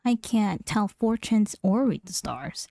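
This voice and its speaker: A woman's voice: medium pitch, animated, slow, creaky, mellow, no echo. A woman streaming a video game, casually commenting and reading dialogue aloud on a close mic. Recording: dry, faint game music behind.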